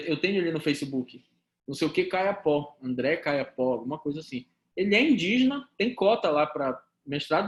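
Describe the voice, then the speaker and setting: A man from Pará with a Paraense accent, lecturing on law to students with rhetorical questions and lively asides. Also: on the high side, roomy sound. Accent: Paraense Brazilian Portuguese. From Pará, Brazil. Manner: animated, talks to students directly, rhetorical questions. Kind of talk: recorded law lesson.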